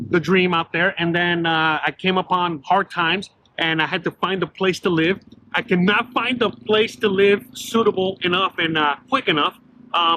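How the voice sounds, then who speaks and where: A man's voice: high-pitched, background noise. A middle-aged man from Miami telling his story in a casual walk-and-talk interview, full of fillers and restarts, recorded outdoors on a city street. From Miami, Florida. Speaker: YouTuber guest.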